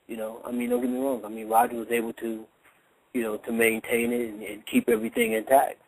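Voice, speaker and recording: A man's voice: mid-range voice, very animated, brisk, gravelly, a little hiss or hum, poor audio. A man talking casually as a boxing trainer in a radio interview, explaining his points with emphasis and repetition. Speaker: boxing trainer, guest analyst.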